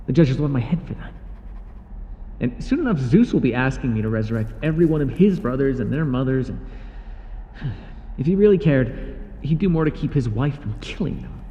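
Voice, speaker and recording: A man's voice: mid-range voice, sing-song, normal speed, pauses often, warm tone, long reverb tail, highs cut off, a little hiss or hum. A man voicing an irritable male god who rules the underworld, speaking in a haughty, scolding way with dry sarcasm, as an audio roleplay. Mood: grumbling.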